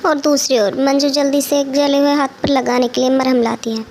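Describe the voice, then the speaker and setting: A woman's voice: high voice, flat delivery, fairly quick, darkish tone, slight background noise. A woman storyteller reading scripted narration for a cartoon moral story.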